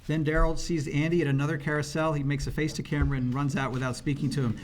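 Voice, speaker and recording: A man's voice: fairly high pitch, level tone, fast. A man reading out script stage directions at a table read.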